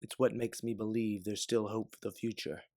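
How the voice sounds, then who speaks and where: A man voicing a devout, loyal companion in a sci-fi story, speaking in an earnest, persuasive, measured way. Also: lowish voice, little pitch movement, a little mellow, dry recording, studio quality. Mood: devout, hopeful. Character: Aleph, devout, loyal, forgiving companion and resistance believer.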